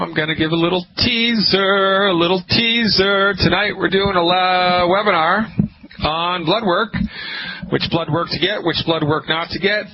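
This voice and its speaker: Folksy Boston-accented man on lo-fi radio. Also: on the high side. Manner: folksy, emphatic, repeats phrases. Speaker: radio show host, naturopath. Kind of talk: call-in health radio monologue. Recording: dull lo-fi radio broadcast with faint hiss.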